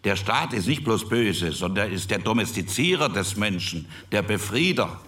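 An actor playing an earnest postwar politician in a radio play, speaking in a measured, formal, deliberate way. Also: male voice, fairly low pitch, lively intonation, a bit bright, far from the mic.

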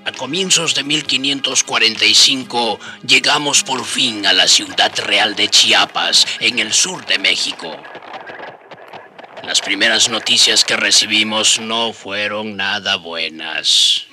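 Low, forceful storytelling friar. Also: male voice, slow, soft background music, heavily acted. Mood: solemn storytelling. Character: companion friar recounting the old bishop's life. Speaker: narrator. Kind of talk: scripted radio drama narration.

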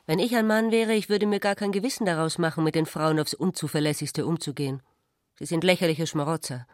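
A woman's voice: deep voice, brisk, no room sound, close to an everyday voice. Articulate, insistent woman, an actress as a provocative sister, dry studio. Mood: languid scorn. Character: Agathe, sharp-witted, provocative, tired sister of Ulrich. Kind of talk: literary radio drama.

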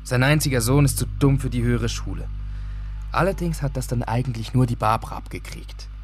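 A man's voice: mid-range voice, brisk, soft background music. A man acting a first-person radio drama monologue, telling it in a plain, conversational way. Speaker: actor narrating as a son.

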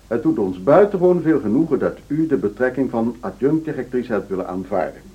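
A slightly muffled recording of a formal school board man in a radio play. His husky, mid-pitched voice moves animatedly through stately, ceremonious phrases. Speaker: actor. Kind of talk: Dutch radio drama acting.

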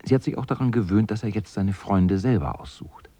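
A man narrating a radio drama as a storyteller, with a gravelly, husky voice. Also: fairly low pitch, brisk, dark tone, dry recording.

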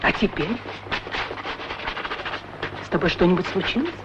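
Pert chatty lady's boots, very lively pitch. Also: a little slow. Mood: curious, prying. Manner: pert, demanding, teasing, questioning. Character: pair of talking lady's boots, female, chatty, haughty, curious. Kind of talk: 1960s radio play acting. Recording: muffled old radio recording, loud background noise.

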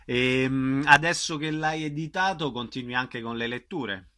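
Bright-voiced man interviewing. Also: medium pitch, unhurried. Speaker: radio interviewer. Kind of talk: casual interview.

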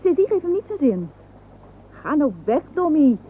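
High, bossy young girl. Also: big pitch swings, normal speed. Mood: scolding. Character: fussy, scolding young girl minding small children. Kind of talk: radio drama acting. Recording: muffled phone-like old radio recording, hissy.

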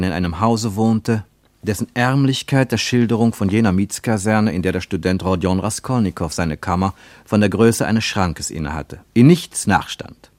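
A man narrating a literary radio drama in a measured, deliberate way. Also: on the low side, no room sound.

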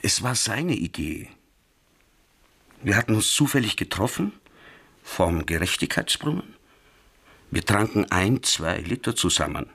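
An actor playing a chatty, wry ex-safecracker in a radio crime play, telling a story. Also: male voice, low voice, very animated, unhurried, creaky, long pauses, a bit bright, breathy edge, heavily acted. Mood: wry recounting. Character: chatty male ex-safecracker recounting a night escapade, wry.

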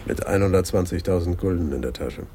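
Deep-voiced, sober police detective. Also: male voice, fairly quick, dark tone, a little hiss or hum. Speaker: actor in a radio play. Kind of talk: 1980s German radio crime drama acting.

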